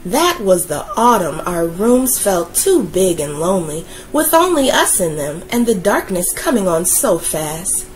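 A woman reading a children's picture book aloud in a gentle, expressive, soothing way. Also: on the low side, measured pace, hardly any pauses, poor audio. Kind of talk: read-aloud storytelling.

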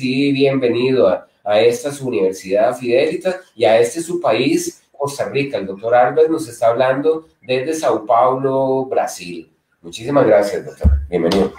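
A man's voice: medium pitch, a little mellow, slight background noise. A middle-aged man hosting a university online talk, introducing the guest with many fillers, over a video call. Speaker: university host. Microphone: webcam on a video call. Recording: distant, roomy video call audio.

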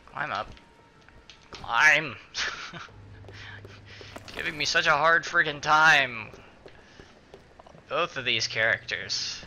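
Bright, forceful man doing game commentary. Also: mid-range voice, slight background noise. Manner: muttering to himself, mild swearing. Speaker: gaming video host. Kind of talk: casual let's-play commentary.

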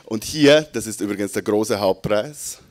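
A man's voice: fairly low pitch, moderate pace, a little mellow. A man in his thirties with an Austrian German accent, hosting a live poetry slam on a theater stage with a handheld mic, in a playful, self-mocking way. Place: dark theater stage before a seated audience. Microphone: handheld microphone.

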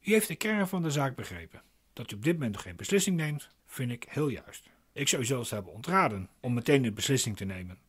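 A man's voice: mid-range voice, sing-song, brisk, fairly bright tone, husky. An actor playing a glib, pedantic man explaining legal procedure in a radio play, insistent and didactic. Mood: persuasive.

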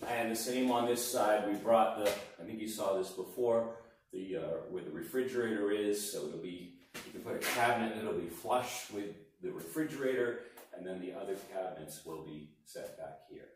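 A middle-aged man explains his renovation in a relaxed, plain way, full of small fillers. His voice sits low. It bounces around a bare, gutted room, heard from a camera that is sometimes a few steps away. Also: moderate pace, heavy reverb, far from the mic, slight background noise, poor audio. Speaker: home renovator. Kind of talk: casual walkthrough narration. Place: gutted house under renovation, indoors. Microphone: camera mic, selfie at arm's length and far camera.

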